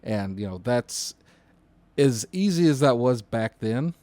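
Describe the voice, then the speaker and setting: Man with very lively, swinging intonation. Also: mid-range voice, slow pace, no room sound. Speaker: emergency dispatcher, podcast co-host. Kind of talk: casual podcast conversation.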